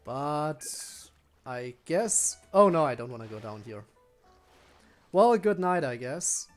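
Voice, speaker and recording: A man's voice: on the high side, expressive, takes it slowly, faint music behind. A man giving casual, rambling live game commentary, musing aloud to his viewers. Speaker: game streamer.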